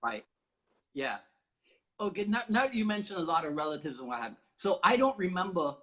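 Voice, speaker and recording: A man from Barbados with a notably high-pitched voice hosts a relaxed conversation. He talks in an animated, chatty way and laughs often as he tells his stories. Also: fairly quick, poor audio. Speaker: host. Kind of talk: casual conversation.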